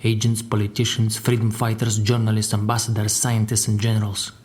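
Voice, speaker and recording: An actor playing a calm, vengeful prison informant in an audio drama, measured and matter-of-fact. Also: male voice, lowish voice, lightly acted. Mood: coolly confiding.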